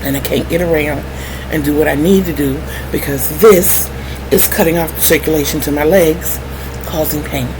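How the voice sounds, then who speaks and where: A middle-aged woman telling personal stories candidly to viewers in a wry, animated manner, acting out remembered conversations. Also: sits low, normal speed. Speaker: vlogger. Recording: clearly noisy background hum.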